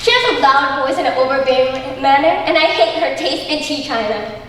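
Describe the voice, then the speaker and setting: A young woman on stage playing a bitter, melodramatic jilted wife, declaiming with drawn-out emphasis. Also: sits high, lively intonation. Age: young adult. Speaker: student stage actor. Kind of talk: theatrical stage acting. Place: large indoor school auditorium, on stage. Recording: echoey school auditorium, distant mic, faint noise.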